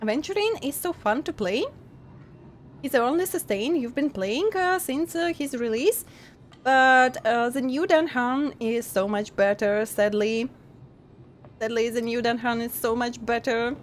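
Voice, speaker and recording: A young woman streaming a game and chatting casually with her viewers, giggling often and pausing to restart her sentences. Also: a little high, a little hiss or hum. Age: young adult. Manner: giggly, halting with many pauses and restarts.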